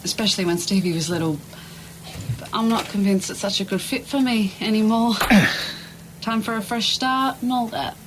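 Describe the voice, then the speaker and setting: An actress playing an easygoing, tactful restaurant worker in an audio drama, talking casually. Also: female voice, medium pitch, moderate pace, background noise, lightly acted. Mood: tactful, reluctant.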